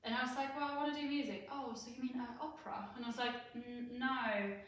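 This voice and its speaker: A chatty young woman from southern Germany talks about herself in a relaxed interview. She is heard from some distance in a bare, echoing classroom. Faint room ambience hums underneath. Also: fairly high pitch, fast talker, a little hiss or hum. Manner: chatty, laughing, many fillers. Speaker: singer, guest. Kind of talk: casual interview chat. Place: school classroom, indoors. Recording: echoey classroom, far from mic.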